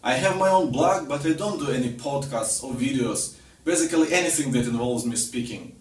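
A man's voice: mid-range voice, brisk, reverberant, recorded from a distance. A man with a Russian accent, a marketing consultant asking an earnest, worried video question.

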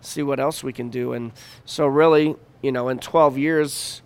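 A man's voice: mid-range voice, steady tone, unhurried, clean tone. A man in his fifties chatting casually as an interview guest, with informal, slangy talk. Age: 50s. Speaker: chef and restaurant owner, interview guest. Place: downtown Denver venue.

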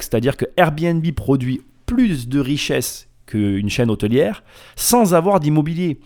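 A man's voice: a little high, darkish tone. Guy doing a solo podcast, chatty and slangy, goes off on tangents, really emphatic. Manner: informal, playful, slangy, emphatic, digressive. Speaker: podcast host. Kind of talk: casual solo podcast monologue.

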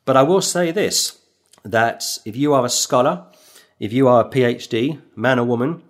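A man delivering a sermon at an ordinary mid pitch, pressing his points with emphatic repetition. He lifts his voice when quoting scripture and drops low and quiet for personal asides. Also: normal speed. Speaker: preacher.

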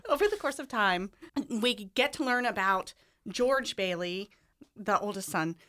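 An American woman speaks as a guest in a radio studio. She has a medium-pitched voice and tells things in a plain conversational storytelling style. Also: a bit bright. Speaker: stage director, interview guest.

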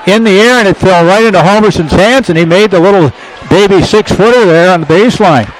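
A man's voice: high voice, a little fast, rough recording. A man giving radio color commentary on a basketball game as a coach, talking in a chatty, folksy way with reflective asides. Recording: live gym broadcast with crowd noise.

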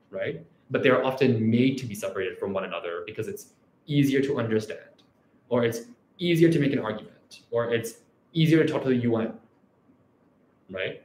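A man's voice: mid-range voice, echoey room, slight background noise, low-quality recording. A man from Canada, an academic researcher giving a reflective scholarly talk who often closes his points with "right?". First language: Urdu.

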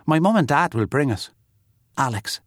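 Young schoolboy, chatty and childlike, very animated audiobook letters, close dry sound. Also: male voice, fairly high pitch, moderate pace, no room sound. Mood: grumbling, earnest. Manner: childlike, simple run-on telling. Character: young schoolboy writing blunt, chatty notes to his friend.

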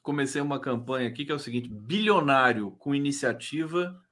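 A Brazilian man with a mid-range voice hosts a live talk show in a casual, chatty way. His delivery is playful and teasing, with some halting repetition as he works toward his point. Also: mid-range voice, fairly bright tone. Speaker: host.

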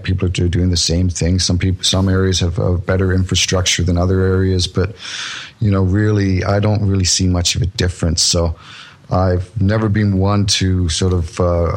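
A man with a very deep, slightly husky voice talks in a relaxed, conversational way. He restarts sentences often and laughs at his own jokes as he tells stories. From born in Scotland, raised in Canada. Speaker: guest musician. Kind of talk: casual interview chat.

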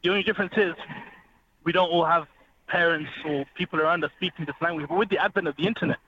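Man, radio guest, earnest, rapid talk, sharp voice, dull lo-fi line, faint hiss. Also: a little high. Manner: earnest, fluent, lecturing. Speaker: phone-in guest. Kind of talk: explaining on a radio show.

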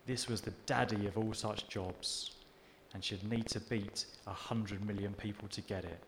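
A man reading his own fiction aloud at a live literary event in steady, measured narration. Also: lowish voice, noisy background.

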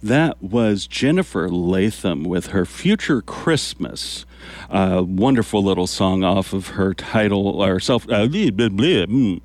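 A man hosting a community radio show from the studio, talking in a relaxed, rambling way with many fillers. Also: low voice, measured pace. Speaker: radio host. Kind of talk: casual community radio chat. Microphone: studio microphone.

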